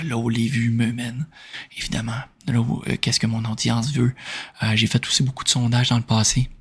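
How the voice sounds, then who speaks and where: A man from Quebec with a Québécois French accent, speaking in a soft, breathy whisper as he informally answers questions in an ASMR style. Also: medium pitch. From Quebec, Canada. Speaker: ASMR video creator answering viewer questions.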